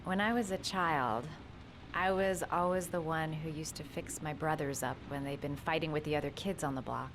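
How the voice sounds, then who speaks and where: Over clearly noisy ambience, a guarded but caring young woman in a game drama. Her voice sits low, and she talks gently, with hesitant little stops. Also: steady tone, moderate pace. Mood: gentle, concerned. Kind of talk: cinematic video game acting.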